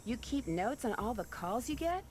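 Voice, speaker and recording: A woman's voice: fairly high pitch, sing-song, moderate pace, darkish tone, a little hiss or hum. A woman playing a polite, inquisitive young amateur detective in an adventure game. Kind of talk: scripted adventure game dialogue.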